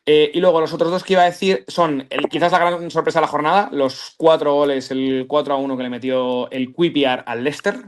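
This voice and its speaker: A man's voice: on the high side, steady tone, brisk, hardly any pauses. A man, chatting casually on a football podcast, rambling with slang and restarts. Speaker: podcast co-host.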